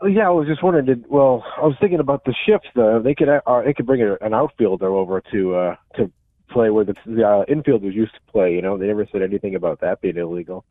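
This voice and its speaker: An American man calling in to a radio show, chatting casually in a halting, rambling way over the phone. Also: lowish voice, no room sound, narrow, tinny audio. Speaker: radio call-in listener. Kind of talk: casual chat.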